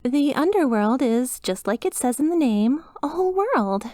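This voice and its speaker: A woman's voice: high voice, expressive, normal speed, mellow, no room sound. Girl doing a perky demon front desk lady, super polite customer service voice, sweet n reassuring, asmr roleplay, dry close sound. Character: chipper female demon resort receptionist, sweetly reassuring.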